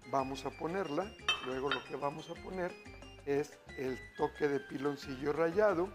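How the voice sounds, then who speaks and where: A middle-aged man hosting a TV cooking show, chatting with viewers as he cooks in a studio kitchen. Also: fairly high pitch. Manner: upbeat, chatty, keeps calling viewers "familia". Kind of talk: cooking demonstration, addressing viewers.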